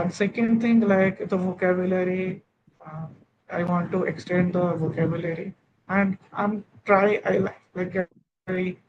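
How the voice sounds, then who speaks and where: Young man, Indian English accent, high-pitched, hesitant, lots of fillers, muffled call audio, noisy background. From Odisha, India. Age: 20s. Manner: hesitant, halting, full of fillers and restarts. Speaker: English learner. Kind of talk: online speaking practice session. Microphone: video call. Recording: dull lo-fi call audio with steady noise.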